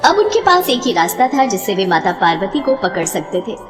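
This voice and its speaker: A woman's voice: medium pitch, big pitch swings, a little fast, in a large hall, music in the background. A woman reading scripted narration for a devotional story.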